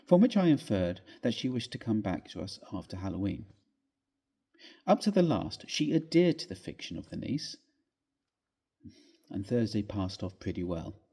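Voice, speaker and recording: A man gives a measured, theatrical reading of a classic ghost story, with expressive phrasing. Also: on the low side, breathy edge. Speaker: story reader. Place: inside a church, live reading.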